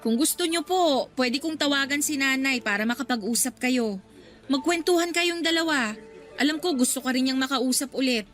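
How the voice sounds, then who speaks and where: A woman's voice: sits high, normal speed, a bit bright, soft background music. An actress playing a polite but evasive young working woman in a Filipino radio drama, defensive and pleading with her elder.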